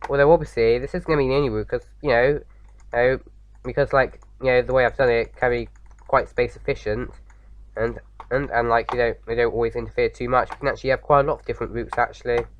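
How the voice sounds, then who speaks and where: Guy with a bit of a sore throat, kinda croaky, rambly game commentary, muffled lo-fi mic. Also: medium pitch, steady tone, fast. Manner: rambling, many fillers and restarts. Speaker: gaming video host. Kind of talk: casual let's-play commentary.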